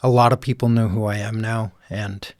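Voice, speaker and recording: Man, quiet voice, rambling, casual guest talk, dry close sound. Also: fairly low pitch, normal speed, dry recording. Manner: relaxed, rambling with restarts. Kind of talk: casual interview conversation.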